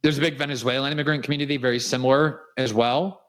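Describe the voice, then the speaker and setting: A man's voice: medium pitch, fairly even intonation, fast, a bit bright. A man from South Florida hosting a political commentary show, delivering an emphatic, indignant, cutting monologue. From South Florida, USA. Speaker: host.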